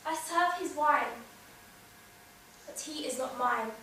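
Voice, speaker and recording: A young woman playing a wounded, defiant wife from old legend, speaking a theatrical monologue in deliberate, weighted phrases. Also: high voice, sharp tone, exaggerated voice. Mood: wounded bitterness. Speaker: drama student performing an exam monologue. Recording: very echoey room, distant mic, faint noise.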